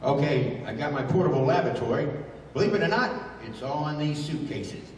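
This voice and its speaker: An actor playing a scrappy, wisecracking adventurer sidekick with slangy, grumbling banter in 1930s radio style. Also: male voice, fairly high pitch, animated, fairly quick, lightly acted. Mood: eager. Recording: echoey hall from far off, faint noise.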